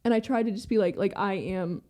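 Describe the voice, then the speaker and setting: A young woman talks in a loose, rambling stream, leaning on "like" and dropping swear words. Her voice is mellow and warm rather than bright, with pitch sitting in a middle range for a woman. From American with Iranian family. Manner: fast rambling talk, heavy use of "like" and swearing. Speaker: podcast guest. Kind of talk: candid casual conversation.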